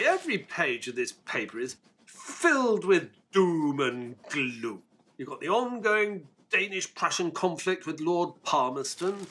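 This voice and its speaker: A man playing an earnest, excitable Victorian gentleman, a detective's companion and narrator, in an audio drama. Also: high-pitched, heavily acted. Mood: exasperated.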